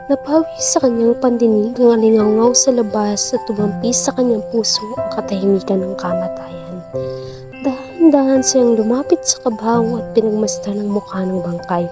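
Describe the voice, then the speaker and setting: A woman narrating a literary radio drama in an expressive storytelling manner. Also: medium pitch. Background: background music.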